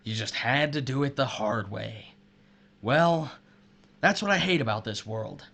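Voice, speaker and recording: A man playing a betrayed husband turned killer in an audio drama, sardonic and taunting, with deliberate menace. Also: medium pitch, animated, gravelly, fairly bright tone, exaggerated voice. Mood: menacing, taunting. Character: betrayed, vengeful husband turned sadistic murderer, missile silo worker.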